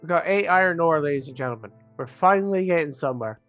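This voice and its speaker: A man with a cold, sounding croaky and sniffly, rambling casually as he comments on his own gameplay, with self-mocking asides. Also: a little high, animated, quick pace, fairly bright tone, no room sound, soft background music. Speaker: gaming channel host.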